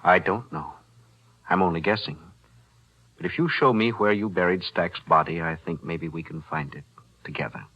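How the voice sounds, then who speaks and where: Deep-voiced, shrewd radio-drama attorney. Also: male voice. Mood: quietly insinuating. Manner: measured, deliberate, articulate, calmly insinuating. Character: shrewd, composed male defense attorney with veiled menace. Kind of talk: 1940s radio drama acting. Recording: dull, lo-fi 1940s radio recording.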